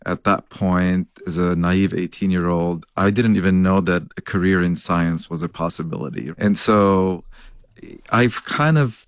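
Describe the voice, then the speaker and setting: A middle-aged scientist from Lebanon talks through his past in a very deep voice. He tells his story earnestly, chuckling between thoughts. The sound is close and dry, with no room in it. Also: dry recording. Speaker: scientist, professor. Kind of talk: reflective interview answers.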